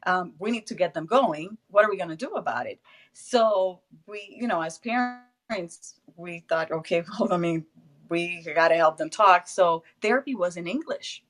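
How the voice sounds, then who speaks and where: A woman's voice: fairly low pitch, lively intonation, moderate pace, fairly bright tone. A woman whose first language is Spanish, with a Spanish accent, talking casually as an interview guest, laughing often and restarting phrases as she searches for English words.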